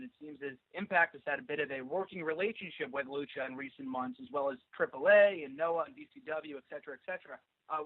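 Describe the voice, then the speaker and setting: A man working as an online journalist, putting an interview question over a phone call. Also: on the high side. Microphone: telephone. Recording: tinny, narrow phone conference line.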